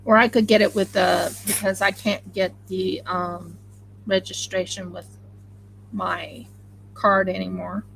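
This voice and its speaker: Chatty Oklahoma-accented woman with a gravelly edge. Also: medium pitch, measured pace, a little hiss or hum, rough recording. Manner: chatty, rambling, excitable. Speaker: craft channel host. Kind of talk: casual live-stream chat while sewing.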